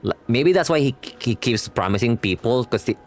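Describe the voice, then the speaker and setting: A man's voice: medium pitch, animated, fairly quick, faint music behind. A young man giving casual, slangy reaction commentary as a game streamer, rambling and rephrasing as he goes.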